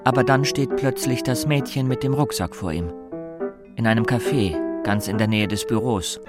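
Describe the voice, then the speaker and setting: A man's voice: medium pitch, normal speed, loud music behind the voice, lightly acted. A man narrating a suspense radio play as a composed storyteller. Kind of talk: scripted radio drama narration.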